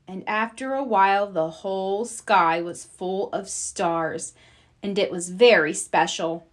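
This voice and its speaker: A woman narrating a children's picture book in an expressive storytelling style. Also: mid-range voice.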